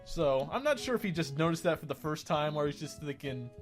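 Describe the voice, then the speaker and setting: A man's voice: on the high side, animated, brisk, faint music behind. A man doing casual, rambling game commentary as a let's play host, often correcting himself as he goes.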